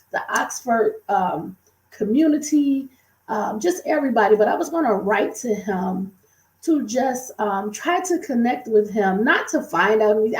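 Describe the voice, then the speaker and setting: A woman's voice: fairly high pitch, a little mellow. Chatty woman with a strained voice in an echoey room. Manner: chatty, repeats and restates, many fillers. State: recovering from a cold, voice strained. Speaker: true-crime commentator. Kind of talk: casual commentary to viewers.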